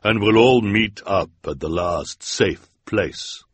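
A bossy villainess gives orders, as a man reading aloud puts her on. His voice stays low and husky, and the recording is dry. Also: slow, dry recording. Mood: commanding. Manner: commanding. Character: bossy villainous woman, triumphant.

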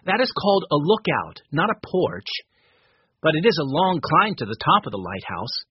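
A man's voice: fairly high pitch, very animated, moderate pace, husky, no echo, lo-fi sound, full character voice. A man voicing a good-natured teenage boy, the eldest brother, in a storybook reading.

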